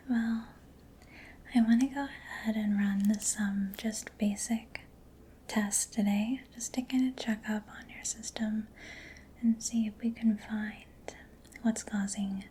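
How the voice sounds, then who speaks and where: A woman speaking in a breathy, mostly whispered voice, gentle, unhurried and reassuring, in a soft-spoken ASMR roleplay as a caring technician. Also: medium pitch, a little hiss or hum.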